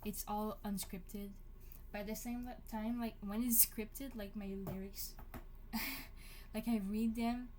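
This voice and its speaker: Woman, early 20s, mid-pitched, rambling solo vlog, mumbly and halting delivery. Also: steady tone, darkish tone, slight background noise, rough recording. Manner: halting, mumbles and chews her words. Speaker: vlogger. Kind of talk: unscripted casual monologue to camera.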